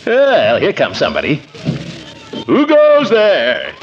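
An actor playing a sociable, blustery middle-aged bachelor in 1940s radio comedy, with a hearty, grumbling manner. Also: male voice, high voice, wildly swooping pitch, slow pace, over music, exaggerated voice. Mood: hearty, bustling. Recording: noisy, muffled 1940s radio recording.

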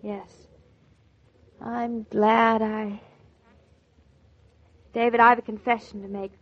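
An actress playing a sensitive, earnest young wife in a 1940s radio drama. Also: female voice, on the high side, little pitch movement, slow pace, no echo. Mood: earnest, confessing. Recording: dull old radio transcription.

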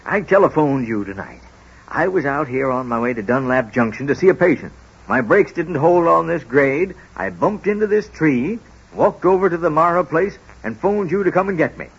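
A man playing a wise, kindly middle-aged small-town doctor in an old-time American radio drama, talking in a folksy, halting, gently firm way. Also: on the high side, expressive, slightly rough, muffled recording, full character voice. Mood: firm, hushed. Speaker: lead actor in a radio serial.

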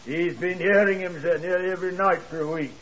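A man's voice: high voice, steady tone, brisk, fairly bright tone, slight background noise. An actor playing an earnest, deferential working-class hotel night man in a 1950s radio crime drama, hesitant and eager to explain. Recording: muffled 1950s radio broadcast.

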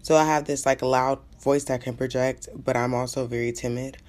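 A woman in a casual panel discussion who speaks openly about her feelings, starting low and rising as she gets passionate. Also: fairly even intonation, normal speed, darkish tone. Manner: open and confessional, talks low but rises when passionate.

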